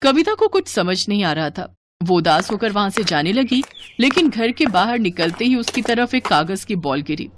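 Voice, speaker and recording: A woman's voice: mid-range voice, big pitch swings, normal speed, a little hiss or hum. A woman narrating a scripted moral story as a storyteller.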